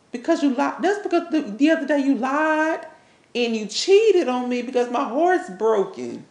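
A woman performs an angry, wounded woman in a relationship melodrama. Her voice is high and slow, stumbling and repeating as she accuses her cheating lover. The sound is roomy and echoey. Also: a little mellow, full character voice. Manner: stammering, repetitive, confrontational.

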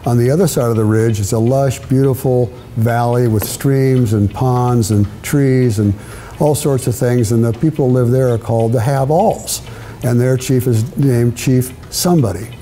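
A man's voice: medium pitch, moderate pace, dark tone, a little hiss or hum. An older man, a children's author, telling stories as an interview guest. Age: older, with grandchildren. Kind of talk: interview storytelling. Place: indoor studio set with brick walls, seated at a table. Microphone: lapel mic.

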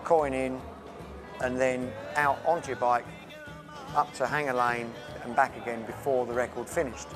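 A man in an interview, explaining with animated delivery that speeds up and slows down. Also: mid-range voice, crowd noise. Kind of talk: interview recollection. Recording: music playing underneath.

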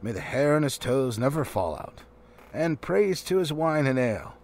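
A man's voice: medium pitch, big pitch swings, moderate pace, vocal fry, darkish tone. A man voicing a pompous, solemn dwarf leader giving a formal, long-winded speech in a storybook reading. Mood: solemn, grand.

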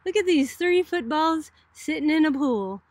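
A woman's voice: high-pitched, expressive, a little slow. A middle-aged woman from Boston teaching in a casual, chatty, explanatory way, like an informal college video lecture. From Boston, United States.

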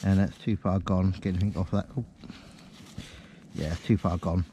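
A man's voice: low voice, steady tone, moderate pace, dark tone. Matey man, noisy windy recording. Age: middle-aged. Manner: informal, colloquial, matey. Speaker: metal detecting vlogger. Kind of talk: casual vlog chat and commentary. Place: open field outdoors. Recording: windy outdoor noise on action camera.

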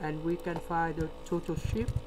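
A man with a delivery, explaining a word problem step by step in a screen-recorded lesson. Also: a little high. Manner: slow, step-by-step explaining with pauses. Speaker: tutor. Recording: steady electrical hum behind.

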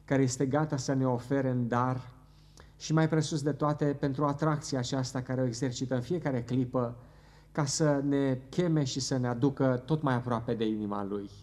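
A middle-aged man leading a closing church prayer at a pulpit microphone, earnest and fervent, pausing now and then. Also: medium pitch, steady tone, darkish tone. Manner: earnest, fervent, halting at times. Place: church hall with wood paneling.

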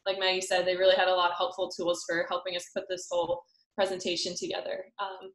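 A woman's voice: on the low side, monotone, quick pace. A young woman presenting a sailing seminar over a video call, casual with many fillers. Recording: roomy echo on call audio.

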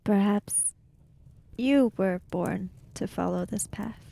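Creaky-voiced woman role-playing at a measured pace. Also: medium pitch, dark tone. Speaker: tabletop role-play player. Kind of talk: improvised role-play narration and dialogue.

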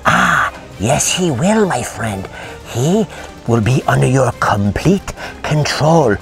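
Man doing a sneaky alien creep, whispery and gravelly, super slow, oily friendly. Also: mid-range voice, very animated, fairly bright tone, soft background music, voice pushed up, heavily acted. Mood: sly. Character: sly scheming male alien symbiote. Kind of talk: toy play voice acting.

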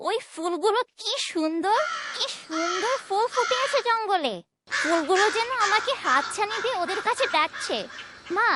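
A woman voicing an excited, curious little village girl in a fairytale cartoon, eager and wheedling. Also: very high-pitched, heavy background noise. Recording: music behind the voice.

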